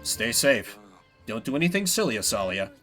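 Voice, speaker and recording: A man's voice: mid-range voice, very animated, moderate pace, a bit bright, faint music behind. Man playing a fond, weary fantasy king.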